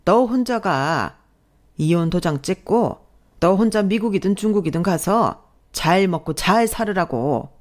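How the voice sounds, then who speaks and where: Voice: mid-range voice, expressive, measured pace, natural voice. A narrator voicing a boorish, lazy husband and father who scolds and sneers in a family story. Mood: furious.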